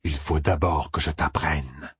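A man voicing a scornful male demon lord who threatens in a slow, drawn-out way. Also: rumbling low, fairly even intonation, a bit bright, husky, no room sound, studio quality, full character voice.

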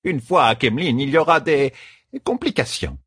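A man's voice: mid-range voice, expressive, moderate pace, fairly bright tone, husky, dry recording, clean studio sound, pitched above the performer's own voice. A man voicing a stern, stubborn old woman servant in an audiobook, with an imperious, scolding manner. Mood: stern, bossy.

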